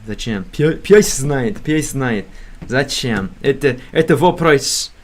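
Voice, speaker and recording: A man's voice: medium pitch, animated, slow pace. A young American man streaming casually, rambling with many fillers and restarts, stumbling through beginner Russian. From United States. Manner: hesitant beginner Russian with self-corrections. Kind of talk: casual livestream chat.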